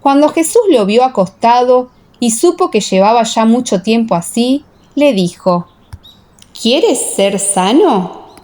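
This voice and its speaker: A woman's voice: a little high, expressive, moderate pace, hardly any pauses, dark tone. A woman reading scripture as the narrator of a dramatized audiobook.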